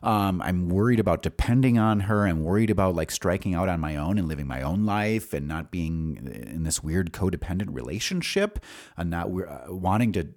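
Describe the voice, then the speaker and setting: A man with an American accent speaks in a low, deep voice as he hosts a personal podcast. He tells stories from his life in a loose, rambling monologue. His delivery is informal and halting, as if working through memories aloud. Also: animated, a little mellow. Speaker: podcast host. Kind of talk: casual personal storytelling, monologue.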